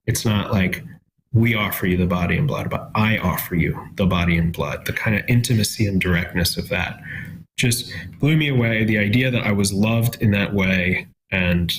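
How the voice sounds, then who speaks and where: A lower-voiced man in his thirties gives a reflective testimony as a talk-show guest. He pauses often and searches for his words. A faint hiss of background noise sits under his voice. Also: rough recording. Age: 30s. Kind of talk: sharing a personal faith testimony.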